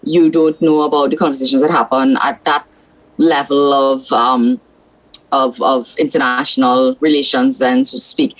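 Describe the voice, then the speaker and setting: A woman's voice: extremely low pitch, steady tone, moderate pace, low-quality recording. A woman from South Trinidad with a Trinidadian accent, a senator giving blunt, frank opinions as a guest on a political talk show.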